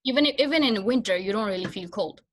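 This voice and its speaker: A young woman from Pakistan whose first language is Punjabi, a guest speaking in a soft, hesitant way with many fillers. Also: mid-range voice, expressive, fast. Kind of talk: casual interview chat.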